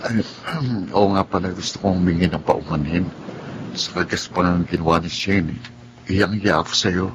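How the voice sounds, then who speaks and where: Placating young man, lowish voice. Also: moderate pace, background noise. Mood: apologetic, gentle. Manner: placating, hesitant. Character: apologetic, conciliatory young man returned from Manila. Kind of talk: radio drama acting.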